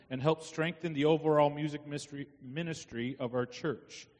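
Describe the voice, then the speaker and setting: A middle-aged man giving informal, halting announcements to a congregation as a church committee chair. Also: a little high. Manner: halting, long-winded. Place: inside a church. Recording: church hall with long reverb.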